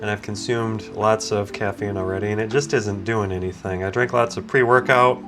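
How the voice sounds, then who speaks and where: A man's voice: on the low side, steady tone, normal speed, music in the background. A man with a slightly congested, groggy voice, hosting a casual, easygoing product review that often wanders off on tangents. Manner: easygoing, digressive, self-interrupting. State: groggy from waking up, with sinus congestion. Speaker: product reviewer and video host. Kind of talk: casual, rambling unboxing and review chat.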